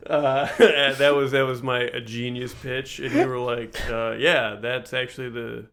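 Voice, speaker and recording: A man co-hosting a podcast in casual comedic chat, with a halting, jokey, laugh-prone delivery and casual swearing. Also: medium pitch, level tone, a bit bright. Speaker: podcast host.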